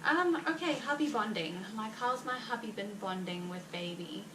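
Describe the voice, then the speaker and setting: A chatty woman with a South African Afrikaans accent talks loosely to her viewers, heavily pregnant and full of fillers and little laughs. She sits well back from the mic in a roomy space, and a steady noise runs beneath her voice. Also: mid-range voice, distant mic. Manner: chatty and rambling, with frequent fillers and quick laughs. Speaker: vlogger. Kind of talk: casual chat to viewers.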